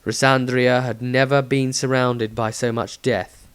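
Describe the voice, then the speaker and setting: A man's voice: medium pitch, little pitch movement, unhurried, a bit bright, smooth. A man reading his own fantasy story aloud as scripted narration.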